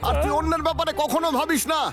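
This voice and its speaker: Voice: high voice, steady tone, very fast, lightly acted. An actor voicing a bossy, short-tempered big brother bear in a children's cartoon dub, with a scolding, exclamatory manner. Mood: angry, scolding. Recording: loud cartoon music behind.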